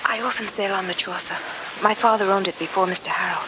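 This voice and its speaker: An actress playing an anxious, earnest young woman in a 1940s radio drama, speaking with hushed urgency. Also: medium pitch, steady tone, fast talker, lightly acted. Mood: urgent, concerned. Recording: noisy old 1949 radio recording.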